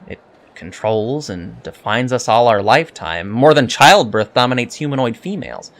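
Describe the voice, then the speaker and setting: A man's voice: medium pitch, very animated, normal speed. Guy acting as young alien space cadet, polite n earnest, kinda hesitant, bit nerdy, audio drama. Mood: frank, earnest. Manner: hesitant, polite, precise wording with many ums. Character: earnest young male alien Starfleet cadet, eager and scholarly.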